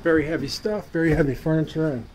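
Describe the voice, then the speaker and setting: A man narrates a junk removal job in brisk, repetitive updates, his voice sitting a little high for a man. It was recorded on a handheld phone at the work site, with clear work noise behind him. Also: steady tone. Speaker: junk removal business owner. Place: outdoors and in a basement at a house cleanout.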